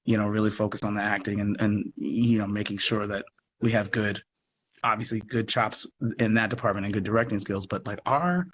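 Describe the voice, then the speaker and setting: A man chatting casually as an interview guest, expressive and thinking aloud as he explains his work. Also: fairly low pitch, a little fast, slight background noise. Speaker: radio play producer and guest. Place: remote live video chat.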